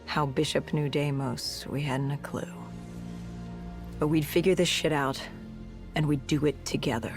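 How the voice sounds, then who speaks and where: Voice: mid-range voice, steady tone, measured pace, pauses often, lightly acted. An actor's scripted game narration as a battle-worn cybernetic soldier, telling a grave story in a terse, dramatic way. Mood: grave, determined. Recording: dramatic music behind. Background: music.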